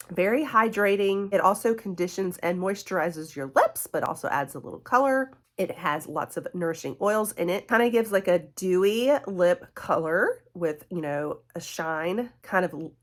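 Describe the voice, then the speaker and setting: A woman's voice: medium pitch, fairly bright tone. A woman, stuffy from a cold, chatting in a friendly way with her viewers as a beauty vlogger. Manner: friendly, chatty, fillers and laughs, addresses viewers as guys and y'all. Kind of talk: casual chatty product review.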